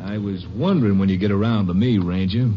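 A man's voice: lowish voice, fairly quick, over loud music, close to an everyday voice. An actor playing a nervous, evasive gambler under questioning in a 1950s radio drama, stammering as he grows panicky. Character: nervous cornered male gambler suspect. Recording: muffled old radio broadcast.

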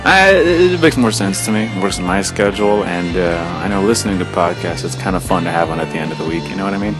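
A man's voice: sits low, lively intonation, fast talker, loud music behind the voice. A man hosting a podcast, rambling casually with lots of fillers. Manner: rambling, filler-heavy, laid-back. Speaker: podcast host.

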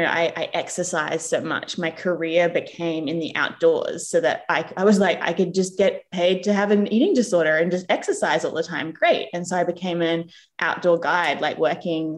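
A woman with a mixed Australian and New Zealand accent, telling her personal story as a podcast guest, earnest and animated. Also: fairly low pitch. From England, raised in Australia. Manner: earnest and animated, acts out quoted people.

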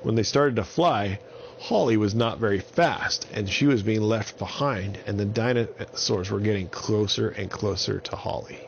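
A man's voice: on the low side, moderate pace, creaky, dull audio, slight background noise. A man telling an improvised bedtime story to small children in simple, repetitive phrases.

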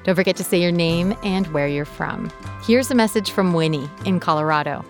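A woman hosting a podcast, reading a scripted story in a measured, clear storytelling voice. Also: lowish voice, soft background music. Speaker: podcast host and narrator. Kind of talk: scripted narration.

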